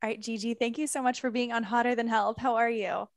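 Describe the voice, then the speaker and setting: A woman's voice: fairly high pitch, fairly even intonation, quick pace, no echo, studio quality. A woman hosting a podcast interview, bubbly and upbeat. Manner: bubbly, laughs easily, upbeat. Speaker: podcast host.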